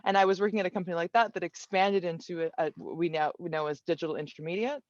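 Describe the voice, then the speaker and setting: A woman colorist on an online panel, telling her career story in a relaxed, thoughtful way with many fillers and restarts. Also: lowish voice, quick pace, dry recording, dull audio. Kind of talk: casual panel talk.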